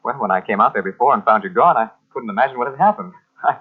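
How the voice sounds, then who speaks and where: A man's voice: medium pitch, lively intonation, fast, breathy edge. An actor playing a genial, eager young man in a 1940s radio drama, chatty and deferential. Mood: affable. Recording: tinny, band-limited old radio recording.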